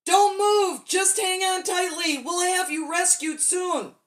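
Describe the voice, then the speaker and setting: Woman as alarmed father shouting, voice pitched high. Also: steady tone, slow pace. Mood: urgent alarm. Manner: shouting urgently. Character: alarmed, protective father calling to his son.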